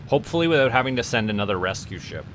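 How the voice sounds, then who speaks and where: A man's voice: medium pitch, very animated, very fast, fairly bright tone, slight background noise, rough recording. A man from Canada streaming a game, rambling and chatting with viewers and tripping over repeated words. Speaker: VTuber streamer. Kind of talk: casual gaming livestream chat.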